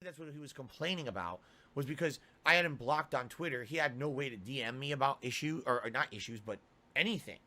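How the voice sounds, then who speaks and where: A man's voice: medium pitch, fast talker, sharp tone. A man telling a rambling story on his livestream. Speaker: streamer. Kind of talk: clip from a livestream.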